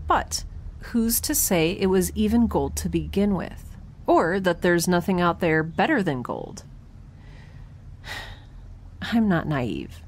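A woman's voice: fairly low pitch, slight background noise, lightly acted. A woman playing a quirky young scientist in space, recording a chatty, wry spoken log for an audio drama. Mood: musing, wry. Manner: chatty, wry, self-interrupting, informal.

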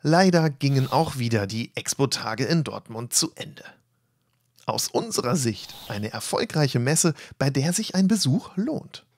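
A man reading a scripted voice-over for an event report in a lively, upbeat way, with a slightly husky voice. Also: mid-range voice, a little slow, dry recording. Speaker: channel host narrating event report. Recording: faint music bed behind voice.

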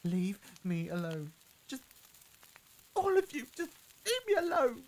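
A woman playing a frightened captive in pain in an audio drama, halting and petulant as they push others away. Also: a little high, sing-song, a little mellow. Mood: agitated, defensive.

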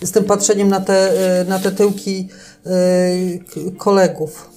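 A woman's voice: medium pitch, little pitch movement, a little slow, mellow. A woman co-hosting a podcast in casual chat, hesitant and searching for words. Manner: hesitant, many fillers and restarts. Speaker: podcast co-host.

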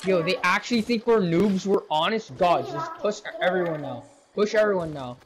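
A man's voice: sits high, normal speed, crowd noise. A teenage male gamer chatting casually with teammates in slangy, trash-talking bursts. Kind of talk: casual team game chat. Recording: noisy game voice chat with clicks.

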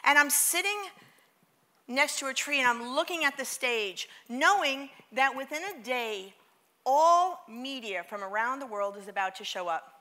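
Energetic New York woman on stage. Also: sits high, a little slow. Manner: energetic, audience call-and-response. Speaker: keynote speaker. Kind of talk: motivational stage talk. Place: large theater stage.

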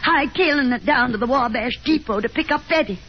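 A genteel, overbearing mother of middle age, played by an actress, speaks in a high, theatrical voice. She is fussy and easily wounded. It is an old 1950s radio drama recording, muffled with some hiss. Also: brisk, close to an everyday voice. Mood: bitter, accusing. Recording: dull, noisy 1950s radio broadcast.

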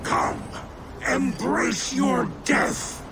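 A man's voice: very high voice, little pitch movement, very slow, noisy background, full character voice. An actor playing a proud, wrathful old giant king in a fantasy video game, grand and declamatory in delivery. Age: older. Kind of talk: dramatic fantasy game acting.

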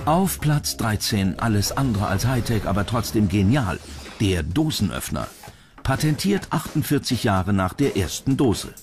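A man's voice: fairly low pitch, lively intonation, unhurried, music in the background. A man reading scripted narration as the narrator of a countdown documentary.

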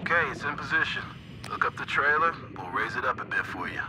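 An actor playing a steady, watchful male salvage boss in a video game, giving businesslike check-ins to a diver. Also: mid-range voice, fast talker, close to an everyday voice. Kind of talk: naturalistic video game voice acting. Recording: clear boat and water noise behind.

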